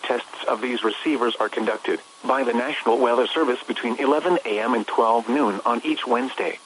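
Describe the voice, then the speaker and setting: Male weather radio announcer, formal measured public service read, noisy hissy broadcast sound. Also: mid-range voice, a bit bright. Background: radio hiss.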